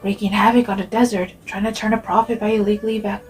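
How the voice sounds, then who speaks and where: A woman voicing an easygoing, sociable young man of the desert in a fantasy game fan dub, relaxed and conversational. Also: mid-range voice, quick pace, soft background music, natural voice. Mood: casual, thoughtful.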